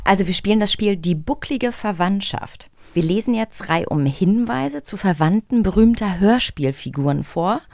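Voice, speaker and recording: A woman's voice: mid-range voice, animated, normal speed, vocal fry, few pauses, a little mellow, no room sound, clean studio sound. A woman co-hosting a radio drama podcast, asking a guest curious questions and explaining a game. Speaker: podcast co-host. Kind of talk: casual conversational chat. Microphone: studio microphone.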